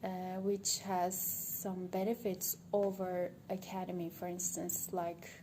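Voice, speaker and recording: A woman from Turkey with a Turkish accent, a native Turkish speaker, giving an unscripted monologue for social media and thinking aloud, hesitant, with many pauses. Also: lowish voice, little pitch movement, mellow, rough recording. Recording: background ambient noise.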